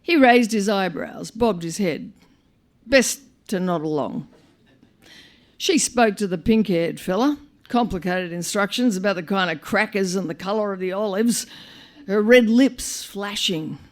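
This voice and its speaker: A woman reading a short story live to an audience, with wry comic narration, dramatic emphasis and deliberate pauses. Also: mid-range voice. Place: live on stage at a performance venue.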